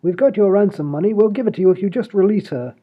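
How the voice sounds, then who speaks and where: A man's voice: sits high, fast talker. A man voicing a prim, polite upper-class lord in a comedy radio play. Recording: dull lo-fi audio.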